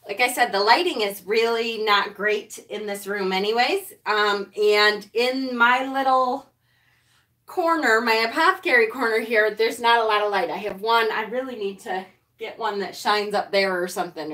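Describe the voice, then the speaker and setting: A woman chatting loudly and cheerfully with viewers of her live stream from a small room at home, standing away from the camera. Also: mid-range voice, moderate pace. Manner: loud, chatty, upbeat. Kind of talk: casual live-stream chat greeting viewers. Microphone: camera set a few feet away. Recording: voice a little distant from the mic.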